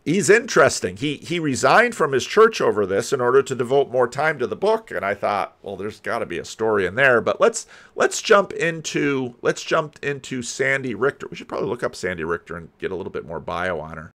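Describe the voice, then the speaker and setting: An older man giving casual, rambling commentary as a host, halting and thinking aloud. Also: mid-range voice, very animated, fairly bright tone. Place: home room lined with books. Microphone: desk microphone, close, with headphones.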